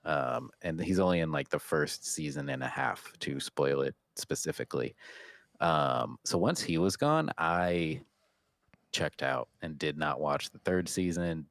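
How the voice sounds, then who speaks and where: A man with a deep voice talks casually as a podcast co-host. The sound is dry and close to the microphone. He rambles in a halting way, letting thoughts trail off and picking them up again. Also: sing-song, vocal fry, a bit bright, no room sound. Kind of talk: casual unscripted TV review chat.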